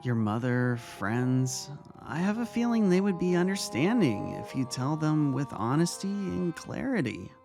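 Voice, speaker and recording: A man playing a kind high school vice-principal in an audio story, speaking with earnest, measured reassurance. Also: sing-song, gravelly, a little mellow, faint music behind, heavily acted. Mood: gentle, reassuring. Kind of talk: dramatic fan fiction audio reading.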